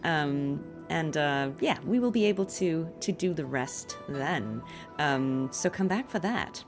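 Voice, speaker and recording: Female livestream host, lowish register, loose appreciative chatter over a clear music bed. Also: animated, measured pace. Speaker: streamer and voice actress. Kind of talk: casual livestream chat.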